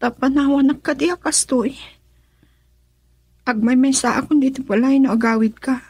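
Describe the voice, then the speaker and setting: A woman's voice: high voice, fairly even intonation, normal speed, a little mellow, breathy edge, no room sound. An actress playing a weak, ailing young woman pleading in a radio drama, with a Filipino accent. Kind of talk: Ilocano radio drama acting. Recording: dry studio, faint music behind.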